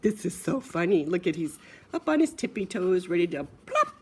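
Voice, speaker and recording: A woman's voice: fairly low pitch, big pitch swings, moderate pace, slight background noise. A woman reading a children's picture book aloud in a playful, sing-song way, with chatty asides. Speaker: storyteller.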